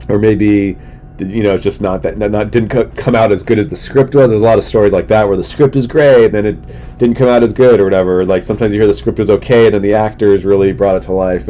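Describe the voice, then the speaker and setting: A middle-aged man with a stuffy, congested, sick-sounding voice, talking loosely and casually as a solo podcast host, with slangy asides. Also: warm tone. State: sick, congested, losing his voice, throat-clearing. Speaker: comedian hosting a solo podcast. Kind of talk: casual rambling monologue. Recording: noticeable background noise with low rumble.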